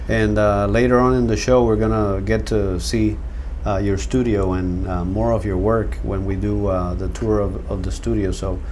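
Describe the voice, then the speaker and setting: A man hosting a local arts interview show, talking in a relaxed way with frequent fillers. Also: lowish voice, moderate pace, hardly any pauses. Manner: friendly, relaxed with filler words. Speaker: host. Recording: faint background noise.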